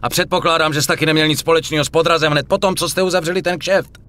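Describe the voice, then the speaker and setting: A man's voice: fairly high pitch, steady tone, a bit bright, lightly acted. An actor playing a tough, sceptical mobster in his thirties, sardonic and streetwise, in a dubbed crime game. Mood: agitated. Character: tough, sceptical young male mobster hero. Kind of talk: dramatic dubbed video game acting.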